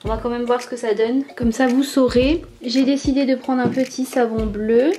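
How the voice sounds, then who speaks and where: A young woman in a casual voice-over, explaining each step of a craft toy in a relaxed way with small fillers. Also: on the high side, moderate pace, faint music behind. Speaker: toy review YouTuber.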